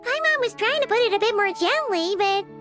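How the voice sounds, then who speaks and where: A woman voicing a tiny, sweet, earnest childlike creature with a small squeaky voice in a video game, emotional and admiring. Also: expressive, a little fast, a bit bright, soft background music. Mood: admiring, hesitant. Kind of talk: cute video game character acting.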